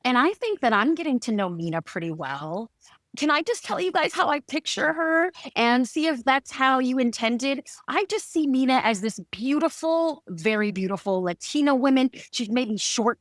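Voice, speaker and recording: Chatty lady hosting a podcast interview, enthusiastic, voice a bit high, close dry sound. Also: expressive, moderate pace, a bit bright, no echo. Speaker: podcast host interviewing show creators.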